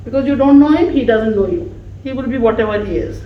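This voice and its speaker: Woman with urdu as her first language, slow warm tribute speech, sounds far off in a roomy echoey space with a bit of hiss. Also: fairly high pitch, dull audio. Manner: slow, warm, emphatic, laughing between phrases. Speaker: speaker at a farewell gathering. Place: office gathering room. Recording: echoey room from far off, faint noise.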